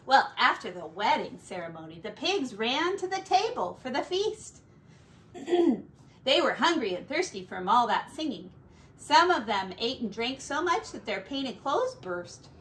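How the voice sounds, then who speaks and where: A middle-aged woman reading a picture book aloud to children like a teacher, with deliberate, drawn-out words and little asides. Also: fairly high pitch, a bit bright, a little hiss or hum, poor audio. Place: small furnished room at home.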